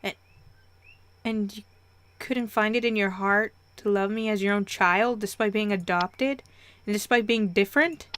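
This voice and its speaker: A woman's voice: medium pitch, measured pace, a bit bright, natural voice. A woman voicing a bewildered, hurt adopted teenager who questions the parents pleadingly in a story.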